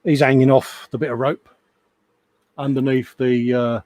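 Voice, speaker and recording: A man's voice: mid-range voice, a little slow, dry recording. Chatty man on a live stream. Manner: relaxed, chatty, reads out viewer comments. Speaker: co-host of a live hobby stream. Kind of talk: casual chat.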